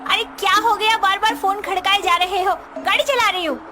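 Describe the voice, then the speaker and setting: A woman's voice: very high voice, steady tone, brisk, over loud music. A woman voicing a brash, self-assured young policewoman wife in a cartoon story. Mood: impatient, bossy. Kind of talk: Hindi cartoon story voice acting.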